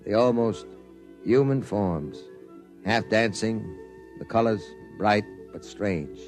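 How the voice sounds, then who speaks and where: A man's voice: fairly low pitch, takes it slowly, darkish tone, soft background music. An actor playing a wary, observant man who narrates and talks in a 1950s radio drama, reflective and intent. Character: wary, observant male narrator with nagging suspicions.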